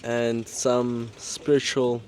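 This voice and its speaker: A man's voice: mid-range voice, little pitch movement, takes it slowly, a little mellow, slight background noise. A man from South Africa chatting casually, laid-back and hesitant. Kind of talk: casual chat.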